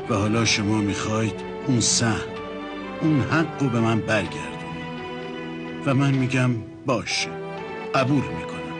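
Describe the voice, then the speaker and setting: Male actor as a plain-spoken, confident man, naturalistic TV drama, lower register, heavy score behind. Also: lightly acted. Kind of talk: naturalistic television drama acting.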